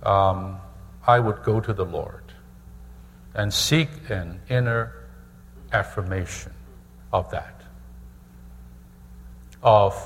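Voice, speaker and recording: Slow, measured preaching man in a hall. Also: fairly low pitch, slight background noise. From Hong Kong. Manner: measured, repeats phrases for emphasis. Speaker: pastor. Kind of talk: sermon testimony.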